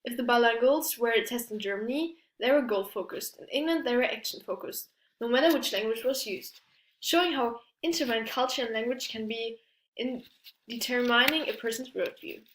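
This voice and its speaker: A woman's voice: on the high side, moderate pace, a bit bright. Hesitant young woman presenting, echoey room. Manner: hesitant, many restarts and fillers, non-native English phrasing. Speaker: student presenter. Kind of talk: class presentation.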